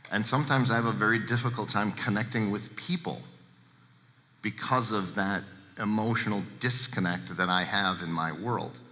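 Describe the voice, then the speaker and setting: A man speaking as a guest at a seminar, talking candidly and addressing the audience directly in a deep voice. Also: pushed and bright. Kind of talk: seminar talk to a live room. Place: large seminar room with a projector. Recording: echoey hall.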